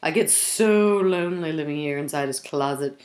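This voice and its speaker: A woman's voice: deep voice, normal speed, a little mellow, reverberant, natural voice. A woman voicing a big, lonely, hungry three-eyed monster in a storybook, syrupy and lingering over words. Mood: hungry, wistful.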